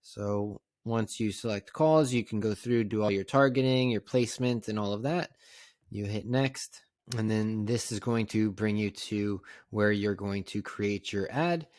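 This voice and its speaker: A man narrating a casual step-by-step software tutorial in a plain, conversational, instructional way. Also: fairly low pitch, a little slow, dry recording, clean studio sound. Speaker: tutorial presenter.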